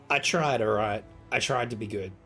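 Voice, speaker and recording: Male streamer, hoarse and losing his voice, low-pitched, casual and sweary. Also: measured pace, fairly bright tone, soft background music. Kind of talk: casual live game stream chat.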